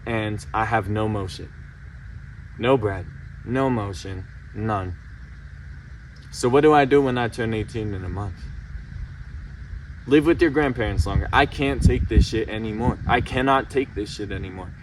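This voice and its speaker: A man's voice: lowish voice, measured pace, long pauses, recorded from a distance, slight background noise, low-quality recording. A young man about to turn eighteen, venting his frustration in a heated, insistent way and repeating himself. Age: late teens. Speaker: vlogger. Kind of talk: emotional personal vent to camera.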